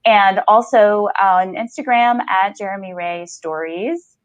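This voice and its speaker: A woman's voice: mid-range voice, slow pace, smooth, dry recording, lo-fi sound. A woman hosting a podcast, interviewing an author in a reflective, affirming, conversational way. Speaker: podcast host.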